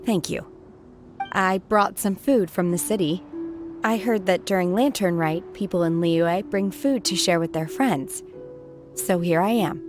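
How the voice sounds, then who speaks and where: A woman plays a reserved, aloof young hermit in a fantasy game. Her voice is slightly low, calm and plain-spoken. Music is clearly heard behind her. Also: unhurried, close to an everyday voice. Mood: calm, detached. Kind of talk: scripted game dialogue.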